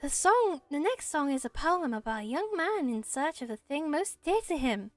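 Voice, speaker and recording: Casting: bold small forest sprite, female performer, high voice, flowery theatrical verse-like delivery, dry recording. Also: big pitch swings, normal speed, no echo, faint music behind. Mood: dreamy, poetic. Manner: florid, poetic, theatrical. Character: bold little forest spirit who speaks in flowery poetry. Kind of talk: amateur video game voice acting.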